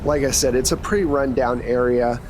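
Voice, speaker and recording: A man's voice: mid-range voice, fairly even intonation, fairly quick. Casual male game master, some background noise. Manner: casual, conversational. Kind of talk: improvised tabletop game narration.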